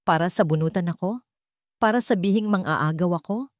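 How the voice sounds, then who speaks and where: A woman's voice: on the low side, normal speed, no room sound, studio quality, lightly acted. A woman narrator voicing a cold, sarcastic, defiant young mistress in a story, with a Filipino accent. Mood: scornful. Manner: cold, mocking. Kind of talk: storybook character dialogue.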